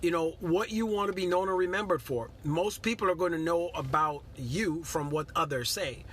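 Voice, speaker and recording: A man's voice: fairly high pitch, moderate pace, a bit bright, a little hiss or hum. A middle-aged man from Guyana giving a casual motivational talk on a live stream, earnest and repetitive, greeting viewers by name.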